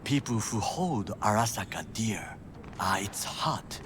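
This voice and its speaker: A stern, formal corporate bodyguard. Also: male voice, lowish voice, lively intonation. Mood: guarded. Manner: formal, clipped, measured speech with quiet whispered asides. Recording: game audio with clear background noise.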